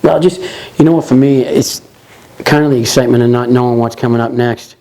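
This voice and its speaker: A man in his forties with a Boston accent, a truck driver chatting casually in an interview, relaxed and rambling with lots of "you know". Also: mid-range voice, a little fast, poor audio. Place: office lounge, indoors.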